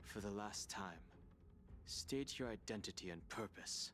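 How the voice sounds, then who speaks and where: A man's voice: fairly low pitch, lively intonation, a little slow, a bit bright, soft background music. An actor playing a stern, guarded space crewman in a video game, formal and suspicious.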